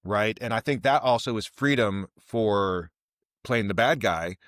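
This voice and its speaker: A man's voice: on the low side, a little slow, a bit bright, dry recording, clean studio sound. A man hosting an interview podcast, talking casually and reflectively, thoughtful and repeating phrases for emphasis.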